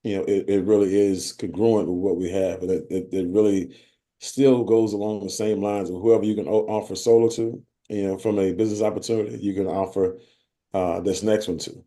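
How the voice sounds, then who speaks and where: A man with a low voice hosts a casual team call. His tone is mellow and relaxed, and he rambles with many fillers and restarts. The call audio is plain. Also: a little fast. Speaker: host of a sales team call.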